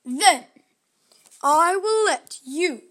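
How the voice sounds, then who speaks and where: Grumpy ogre, as a woman storyteller puts him on, slow booming words, storybook villain. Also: expressive, a bit bright, heavily acted. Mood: stern. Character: grumpy ogre guarding his orange grove.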